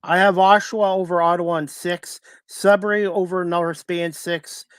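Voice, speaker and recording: A man hosts a sports talk show. His voice sits a little high, and the sound is dry with no room around it. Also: level tone, moderate pace, clean tone, no echo.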